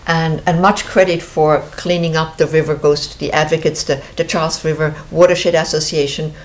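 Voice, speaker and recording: A woman's voice: low voice, fairly even intonation, normal speed. A middle-aged woman from Switzerland with a Swiss accent, hosting a TV interview in an earnest way and often restarting her phrases. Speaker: host. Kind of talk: conversational TV interview.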